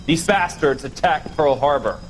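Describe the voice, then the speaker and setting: Man playing a high-voiced private. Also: expressive, normal speed, a little hiss or hum, close to an everyday voice. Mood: earnest, resentful. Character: earnest patriotic American army private. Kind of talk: war scene video game acting.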